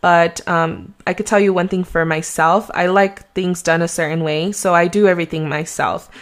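A woman's voice: fairly low pitch, fairly even intonation, normal speed. Chatty woman with a slight Mexican accent. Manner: chatty, rambling, conversational. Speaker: real estate agent and podcast host. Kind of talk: casual solo podcast advice.

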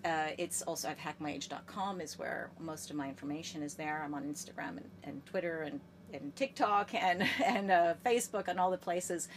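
Low-voiced American woman in her fifties. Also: moderate pace, a little hiss or hum. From United States. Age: 50s. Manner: chatty, enthusiastic, frequent restarts. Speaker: guest, gerontologist. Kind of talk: casual podcast interview.

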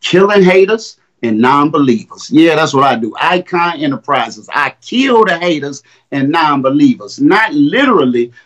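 A man's voice: on the high side, big pitch swings, normal speed, few pauses, fairly bright tone, highs cut off. A man in his fifties, a guest rapper and coach, talking in casual, boastful street slang on a makeshift mic. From Indiana, US. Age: 50s. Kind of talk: casual interview. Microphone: makeshift mic, not a studio one.

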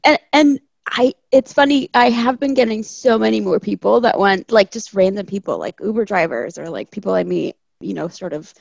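Young woman from Chile, gravelly chatty guest, dry muffled recording. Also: medium pitch, normal speed, hardly any pauses, darkish tone, no room sound. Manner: chatty, laughs often, quotes people in asides. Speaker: guest, landscape architect. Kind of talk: casual interview conversation.

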